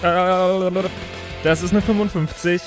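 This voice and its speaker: A man's voice: high voice, steady tone, slow, music in the background. A man chatting casually as a member of a podcast cast. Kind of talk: casual outro chat.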